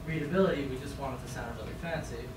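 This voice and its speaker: A young man giving a deadpan parody math lecture, halting with many fillers and chuckling at his own jokes. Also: medium pitch, noisy background. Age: young adult. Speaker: grad student lecturer. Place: large lecture room. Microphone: far camera, no mic. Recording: very echoey room from far off.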